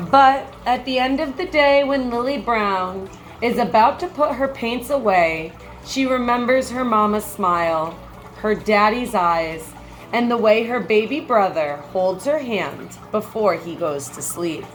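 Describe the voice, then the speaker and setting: Slow-paced woman reading a picture book aloud. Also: medium pitch, a little hiss or hum, rough recording. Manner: measured, expressive storybook phrasing. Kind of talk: picture book read-aloud with brief comments.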